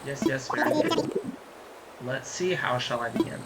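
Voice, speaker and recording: A streamer with a raspy voice voicing a male raccoon businessman in a game, speaking formally and slowly. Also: mid-range voice, wildly swooping pitch, very noisy. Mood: pompous welcoming. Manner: formal, grandly welcoming speech, drawn-out pacing. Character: fussy cheerful raccoon businessman, male, middle-aged. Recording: loud game music behind.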